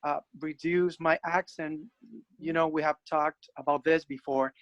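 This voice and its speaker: A man's voice: on the high side, fairly even intonation, measured pace. A man whose first language is Spanish, earnestly explaining his accent goals on a video call and restarting his sentences. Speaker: workshop participant. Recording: dry, lo-fi call audio.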